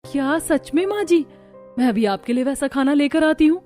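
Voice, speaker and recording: A young daughter-in-law from an animated household drama acted by a woman. Her voice is fairly high, earnest and expressive, dutiful yet quick to show feeling. There is faint music behind her. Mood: dutiful, eager. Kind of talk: Hindi cartoon story acting.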